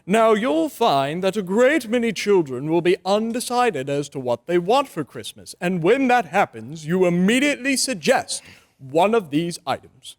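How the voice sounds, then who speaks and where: Man playing a high-pitched, fussy store manager. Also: very animated, normal speed. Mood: officious. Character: brisk, officious department store manager, eager and fussy. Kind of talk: old-time radio drama acting.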